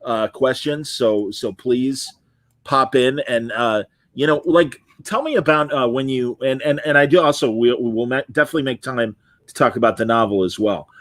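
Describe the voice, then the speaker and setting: A man's voice: medium pitch, moderate pace, dry recording, studio quality. A middle-aged man hosting a casual interview podcast, chatting loosely and reading out viewer comments. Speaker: podcast host.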